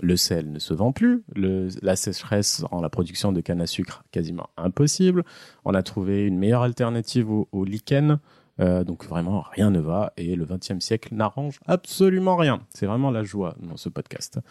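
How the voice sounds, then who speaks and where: A man tells history on a casual podcast, pausing often and slipping in jokes. His voice sits fairly low. The sound is dry and close, with no room around it. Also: very animated, dark tone, no echo. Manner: joking, trails off with many hesitations. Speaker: podcast host presenting a history segment.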